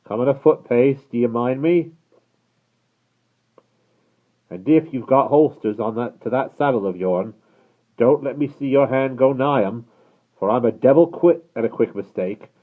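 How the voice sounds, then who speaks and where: A man doing a gruff, bellowing coach guard. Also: fairly low pitch, fairly even intonation, normal speed, pitched above the performer's own voice, natural voice. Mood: shouting threats. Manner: loud, shouting, threatening. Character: gruff, suspicious male coach guard, middle-aged. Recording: tinny phone-like sound.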